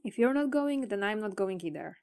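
A curious, plain-spoken young school friend, played by a woman for a comic dub. Medium pitch, with a dry, close sound. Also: animated, a little fast, a little mellow, clean tone, no room sound, natural voice. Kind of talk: comic fandub acting.

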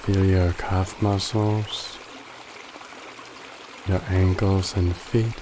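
A man guiding a body scan meditation in a calm, gently instructive way with long pauses. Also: sits low, noisy background. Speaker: meditation guide. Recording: loud running water behind the voice. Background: trickling stream water ambience.

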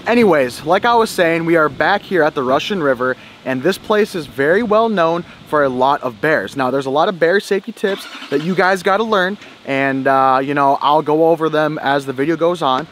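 A man's voice: a little high, moderate pace, few pauses, a bit bright, a little hiss or hum. A man in his thirties hosting a fishing vlog outdoors, talking to a handheld camera at arm's length in an upbeat, casual way. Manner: upbeat, addresses viewers as guys. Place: outdoor parking lot by a river trail.

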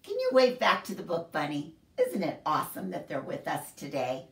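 A middle-aged woman reading a picture book aloud to young children in an expressive, sing-song, deliberate storyteller manner. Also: low voice, creaky. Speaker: children's library story time host. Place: small home room, indoors. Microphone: camera a few feet away. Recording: roomy echo, distant camera microphone.

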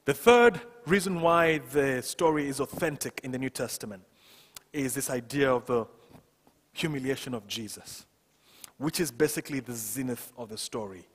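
Husky-voiced male preacher in a reverberant hall. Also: medium pitch, moderate pace. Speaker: pastor, preacher. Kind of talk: sermon to a congregation. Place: church stage, indoors. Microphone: headset microphone.

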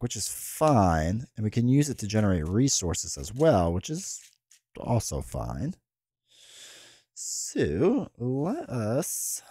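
A man in his thirties hosts in a relaxed, rambling way, and his voice is thick and stuffed up from a head cold. His pitch rises and falls a great deal as he chats through a card game, sitting close to a boom mic in a dry-sounding home studio. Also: husky, no echo. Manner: chatty, rambling with fillers and restarts. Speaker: card game channel host. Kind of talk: casual gameplay commentary. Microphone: boom mic close to the mouth.

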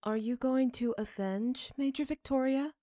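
A woman voicing an innocent, curious young girl with a flat, childlike manner in a story reading. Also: high voice, unhurried, no room sound, clean studio sound, voice pushed up. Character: innocent, curious, inexpressive young girl, not quite human.

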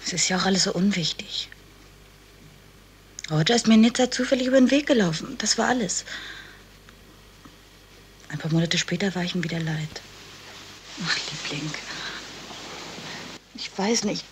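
A woman's voice: on the low side, normal speed, long pauses, slight background noise, close to an everyday voice. An actress playing an anxious, affectionate woman confiding in her partner in a 1970s radio crime play. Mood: anxious, apologetic. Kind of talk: 1970s German radio crime drama acting.

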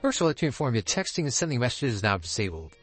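A man's voice: medium pitch, sing-song, racing, soft background music, lightly acted. A man voicing a solemn god of time and space, formally announcing the rules as game master in a visual novel. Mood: solemn, commanding. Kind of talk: reading visual novel dialogue aloud.

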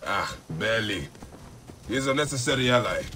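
A man's voice: fairly low pitch, expressive, normal speed, a little hiss or hum. An actor playing a stern, wary male warrior of ancient Egypt in a video game, speaking in a terse, dry way. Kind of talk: video game character acting. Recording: loud music behind the voice.

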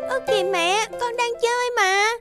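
A woman's voice: extremely high pitch, steady tone, normal speed, over loud music. A woman playing a spoiled, bossy little girl in a cartoon, with a whiny, demanding manner. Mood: sulky. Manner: bratty, demanding. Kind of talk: cartoon dubbing.

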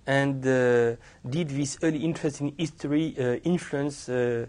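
A man interviewing a guest, asking short prompting questions and adding quiet "mm-hmm"s. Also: medium pitch, fairly even intonation, unhurried.

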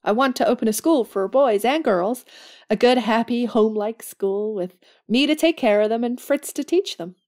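An actress playing a warm, plucky, idealistic young woman in an audio drama, earnest and plain-spoken. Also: fairly high pitch, moderate pace, natural voice. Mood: excited, determined.

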